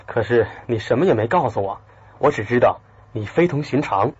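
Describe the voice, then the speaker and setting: An actor playing an earnest, bewildered, lovestruck young man in a radio drama, with a husky voice. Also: mid-range voice, animated, normal speed. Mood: hurt, insistent. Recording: dull muffled audio, faint music.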